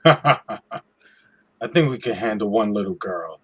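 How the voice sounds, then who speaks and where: A man's voice: fairly low pitch, animated, slow, husky, exaggerated voice. A man playing a cocky, crude police lieutenant, brash and dismissive, in an amateur radio-play reading.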